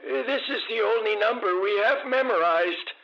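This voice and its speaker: Man as very high-pitched, plaintive jailed caller. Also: natural voice. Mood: pleading. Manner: halting, plaintive, self-dramatizing. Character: flustered, melodramatic jailed caller named Jerry. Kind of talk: comedy audio drama acting. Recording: dull, lo-fi radio call.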